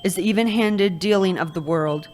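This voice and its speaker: A woman's voice: medium pitch, normal speed, darkish tone, soft background music, close to an everyday voice. A woman playing the young Scrooge in a live radio play, cool and dismissive. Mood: defensive.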